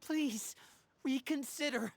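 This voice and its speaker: An actress playing an earnest young member of an adoptive family in a video game, speaking in an imploring way. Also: female voice, high voice, takes it slowly, creaky, a little hiss or hum, exaggerated voice. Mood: pleading. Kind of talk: scripted video game voice acting.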